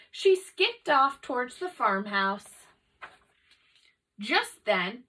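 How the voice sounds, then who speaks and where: A woman reads a children's story aloud in a high, bright voice. She takes it slowly and clearly shapes each line for young listeners. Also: far from the mic, rough recording. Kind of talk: animated children's read-aloud narration.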